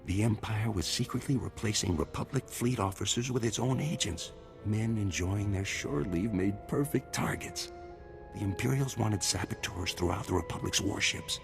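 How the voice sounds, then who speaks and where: Smug, gravelly villain doctor. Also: male voice, on the low side, moderate pace, over music. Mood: smugly pleased. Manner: unctuous, politely mocking. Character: smug amoral male surgeon-scientist, a glib collaborator villain. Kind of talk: scripted video game cutscene acting.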